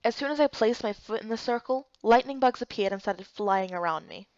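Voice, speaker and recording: A woman's voice: a little high, brisk, darkish tone, dry recording, highs cut off. A woman reading scripted first-person story narration for a game playthrough.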